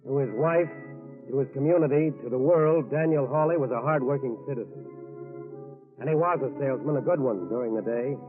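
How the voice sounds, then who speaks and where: Male narrator, medium pitch, dramatic scripted 1940s radio delivery, thin old recording, music behind. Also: fairly quick, narrow, tinny audio.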